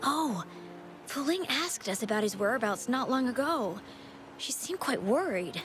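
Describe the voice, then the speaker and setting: High-voiced flustered young woman. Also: animated, a little slow. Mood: concerned. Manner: hesitant, earnest. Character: flustered, eager young female townswoman, deferential. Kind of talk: scripted game dialogue. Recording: game music behind voice.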